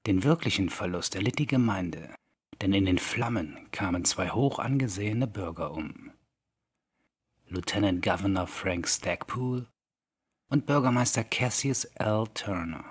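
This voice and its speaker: A man narrating a scripted horror audiobook in a measured, deliberate storytelling manner. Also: low voice, expressive, slightly rough. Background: soft background music.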